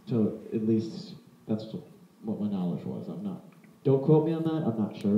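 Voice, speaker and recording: A roomy, slightly muffled home recording of a man with a fairly low voice. He walks through a piece of gear in an informal, rambling way, correcting himself as he talks like a hobbyist vlogger. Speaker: vlogger presenting vintage audio gear. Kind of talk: casual unscripted product demonstration. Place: indoor room.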